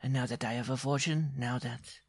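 Guy doing a proud touchy little circus dwarf from a storybook, shrill n high, super florid and pompous, lovesick, dry close sound. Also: fairly even intonation, a little fast, gravelly, husky, no room sound. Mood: ardent, angry.

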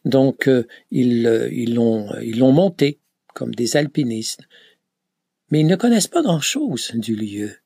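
A man recounting his pilgrimage in a slow, hesitant way, full of filler sounds and restarts. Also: medium pitch, lively intonation, vocal fry, warm tone, husky, dry recording, studio quality. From Canada. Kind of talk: reflective travel account.

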